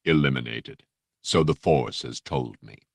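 A man voicing a menacing, coldly polite armored dark lord in an audiobook, with rich, silky, measured speech. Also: creaky, no echo, exaggerated voice. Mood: cold, dismissive. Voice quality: deep, rich, silky, mellifluous. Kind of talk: audiobook character voice.

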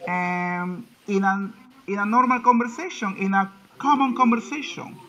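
A man's voice: sits high, moderate pace, sharp tone, highs cut off. A man, teaching English on a video call and repeating his phrases as he coaxes. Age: 20s. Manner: repeats his own phrases, coaxing and encouraging. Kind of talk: online English conversation class. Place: small room indoors. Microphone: laptop webcam on video call.

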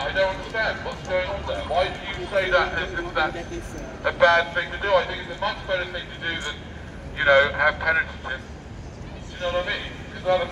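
A high-pitched man argues through a megaphone on a noisy street. He rambles provocatively and circles back to the same questions, heard some way from the microphone. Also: muffled recording. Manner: rambling, argumentative, repetitive questioning. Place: outdoors on a busy city street at night. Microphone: far camera. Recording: distant, noisy city street recording.

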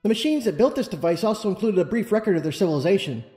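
Guy doing a smart helpful girl pony, high mellow voice, explains stuff briskly, storybook, music under. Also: animated. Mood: explanatory. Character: confident, helpful female scholarly pony. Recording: long reverb, music underneath.

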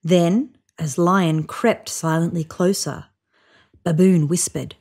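A woman in her thirties reading a children's picture book aloud on a sofa, with voiced sound effects and hushed whispers. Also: lowish voice, fairly even intonation, takes it slowly, slightly rough. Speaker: storybook reader. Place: indoors on a sofa.

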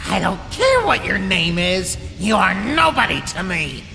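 A woman voicing a spiteful, manic little talking flower villain in an animated comic dub, childish, mocking and theatrical. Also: medium pitch, a little slow, vocal fry, raised voice. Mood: menacing taunting. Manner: mocking, sneering, theatrical, prone to shrieking. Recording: long reverb, music behind the voice.

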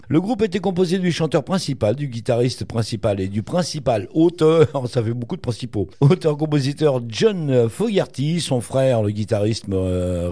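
Mellow-voiced man co-hosting a radio show. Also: medium pitch. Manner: jokey, playful asides while reading facts. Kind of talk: casual radio chat about rock history.

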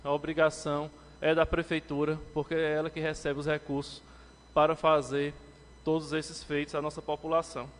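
A man's voice: monotone, normal speed. Councilman's formal speech in a reverberant chamber. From Brazil. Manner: formal, addresses the chair, rises to emphatic pitch when criticizing. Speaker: city councillor. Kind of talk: legislative floor speech. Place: council chamber, indoors, medium-sized. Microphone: lectern microphone, standing.

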